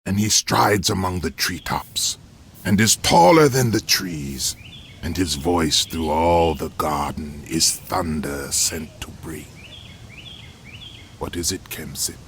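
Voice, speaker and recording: An actor playing a menacing, commanding villain general in a game cutscene, brooding and grandiose as if reciting ominous verse. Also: male voice, a bass voice, takes it slowly, gravelly, fairly bright tone, slight background noise, exaggerated voice. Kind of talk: dramatic game cutscene acting. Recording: music behind the voice.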